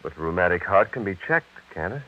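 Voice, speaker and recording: Low-voiced, earnest radio-drama scientist. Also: male voice, animated, brisk. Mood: probing. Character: earnest, probing young male bacteriologist and husband. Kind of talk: 1930s radio drama acting. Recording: dull, hissy vintage radio recording.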